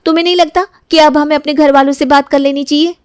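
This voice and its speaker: A woman's voice: high voice, steady tone, quick pace, a little mellow, dry recording, clean studio sound, pitched above the performer's own voice, natural voice. A woman voicing a pleading, lovelorn young woman in her twenties in a cartoon family story. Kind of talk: cartoon moral-story dialogue.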